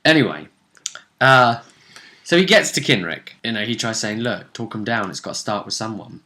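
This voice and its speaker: A man's voice: on the low side, expressive, moderate pace, pushed and bright. A man speaking as game master, casually recapping a tabletop role-playing session in a jokey, conversational way.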